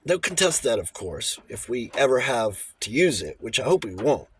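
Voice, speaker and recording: A man's voice: mid-range voice, very animated, normal speed, husky. A man voicing a little old bachelor lawyer in an audiobook, formal and precise, shifting between diffidence and relish for a fight. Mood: authoritative, relishing. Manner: hesitant stammers giving way to precise, emphatic pronouncements.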